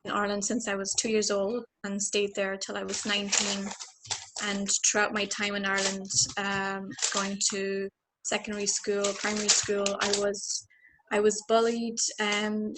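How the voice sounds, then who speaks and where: A woman's voice: mid-range voice, monotone, moderate pace. A woman in her twenties from Romania, telling her personal story earnestly on a video call panel, with many fillers and restarts. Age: 20s. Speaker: panel speaker. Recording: clear noise behind, baby at home.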